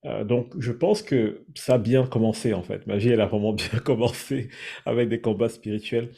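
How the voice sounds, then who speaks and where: A man from Cameroon, sharing his personal story in a thoughtful, measured way. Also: mid-range voice, fairly even intonation, creaky, dark tone, breathy edge. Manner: thoughtful, measured, searching for words. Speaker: guest sharing his testimony. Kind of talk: reflective personal testimony.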